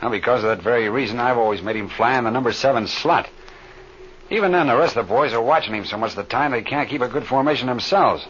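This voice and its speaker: A man's voice: mid-range voice, quick pace, fairly bright tone, close to an everyday voice. A man playing a seasoned, plain-spoken flight leader in an old radio drama, on a muffled, hissy recording. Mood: troubled, serious. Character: seasoned male flight leader, steady, folksy mentor.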